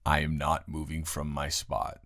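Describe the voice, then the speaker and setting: A man playing a tired, grumpy but secretly soft roommate in an improvised intimate audio roleplay, talking straight to the listener in a halting, teasing drawl. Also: very deep voice, completely flat delivery, no room sound, studio quality. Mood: grumpy, giving in.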